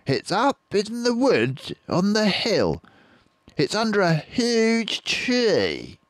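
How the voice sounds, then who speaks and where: Man putting on a crafty, high-pitched mean farmer. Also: wildly swooping pitch, slow pace, no room sound, studio quality, heavily acted. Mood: scheming, bossy. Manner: curt, bossy, scheming.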